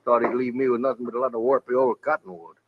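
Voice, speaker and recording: An actor playing a proud, blustery older cattle rancher with a rural Western American accent in a radio drama. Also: male voice, medium pitch, fast talker, natural voice. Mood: scornful. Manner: blustery, boastful, folksy. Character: proud, cantankerous old cattle rancher turned hotel builder. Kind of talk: 1950s radio drama acting. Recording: old radio recording, dull and hissy.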